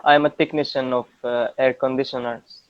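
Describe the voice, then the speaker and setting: Moroccan man speaking halting English, dull audio. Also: medium pitch, level tone, clean tone, no echo. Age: 20s. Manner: halting learner English, repeats words. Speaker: English learner, student. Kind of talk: casual conversational practice. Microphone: video call. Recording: dull lo-fi internet call audio.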